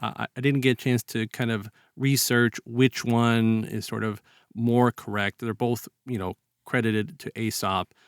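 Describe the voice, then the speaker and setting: A man talks as a podcast host in a close, dry recording. His delivery is halting and conversational, with a medium-pitched voice. He reflects casually on what he reads and animates his retellings with acted-out quotes. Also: steady tone, clean tone, dry recording. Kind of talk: casual reflective literary discussion.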